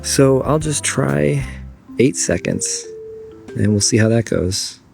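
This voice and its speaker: A man's voice: medium pitch, expressive, takes it slowly, gravelly, a little mellow, faint music behind. A man with a cold, filmed on a phone outdoors at dusk, muttering as he explains his camera settings. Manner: muttering, thinking aloud. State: congested from a cold. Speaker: photography vlogger.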